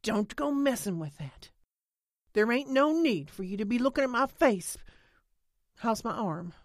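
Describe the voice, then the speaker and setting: A woman narrator acts out an anxious rural woman in an audiobook. She is guarded and defiant, with halting, insistent dialect speech. The sound is dry and close. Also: on the low side, no echo, lightly acted. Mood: defiant, grim. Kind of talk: audiobook character acting.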